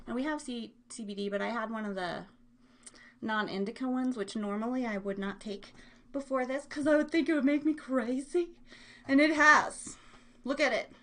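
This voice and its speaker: A woman's voice: fairly high pitch, normal speed, fairly bright tone. A woman hosting a live online show, chatting casually in a bubbly, playful, scattered way. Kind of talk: casual rambling livestream chat.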